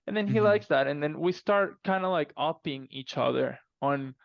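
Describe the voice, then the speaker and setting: Man, interview guest, animated and emphatic, conversational, some vocal fry, close dry but slightly muffled recording. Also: on the high side, normal speed, dry recording. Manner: animated extrovert, emphatic, repeats himself. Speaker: author, podcast guest. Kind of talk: casual conversational interview answers.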